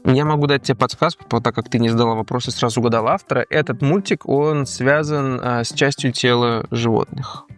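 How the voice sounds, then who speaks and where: A man speaks as the host of a casual quiz-style show. His pitch sits in an ordinary middle range and his delivery is conversational. Music plays behind him. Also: fairly even intonation, brisk, hardly any pauses.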